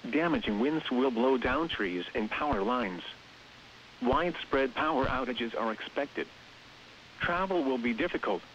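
A synthetic-sounding male announcer reads scripted weather bulletins and warnings in a flat, evenly phrased delivery. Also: mid-range voice. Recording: hissy, dull radio broadcast with noise. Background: radio hiss.

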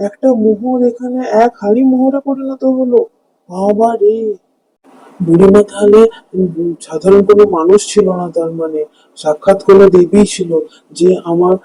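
Man as a high, husky humble village husband. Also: mellow, rough recording. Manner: earnest, wondering. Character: kind-hearted, humble poor village husband. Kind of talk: Bengali cartoon fairy-tale voice acting.

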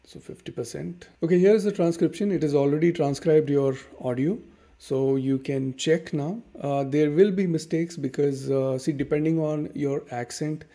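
A man with an Indian accent talks through a screen tutorial at an easy pace, padding his steps with "okay" and "uh". His voice is mellow and dark with a gravelly edge. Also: a little high, normal speed. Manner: relaxed, many fillers and "okay"s, explains step by step. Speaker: tutorial host. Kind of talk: casual screen-recorded software tutorial. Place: dim room at home.

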